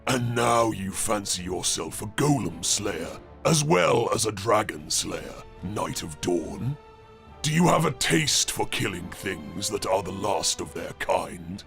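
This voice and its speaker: Ancient fantasy golem: extremely gravelly, rumbling, slow, dry and menacing. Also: male voice, very animated, fairly bright tone, faint music behind, full character voice. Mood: taunting, menacing. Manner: slow, deliberate, taunting. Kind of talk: fantasy audiobook character voice.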